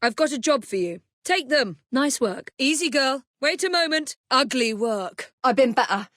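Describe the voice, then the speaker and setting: A woman voicing a confident young Victorian English assassin and gang leader in a video game, crisp, commanding and wryly polite. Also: sits high, animated, moderate pace, a bit bright, no room sound, studio quality. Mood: dry, calm.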